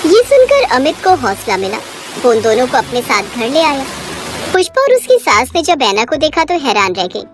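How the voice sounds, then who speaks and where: A woman's voice: high voice, huge pitch swings, moderate pace, soft background music. A woman narrating a scripted children's moral story.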